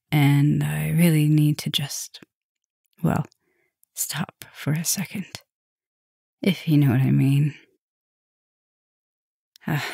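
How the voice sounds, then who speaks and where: Woman acting as a slow, low-voiced flirty vampire professor. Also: no room sound, clean studio sound, full character voice. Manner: soft, breathy, teasing, drifting into whispers. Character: flirtatious, teasing, caring female vampire professor, softly dominant. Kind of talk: intimate roleplay ASMR.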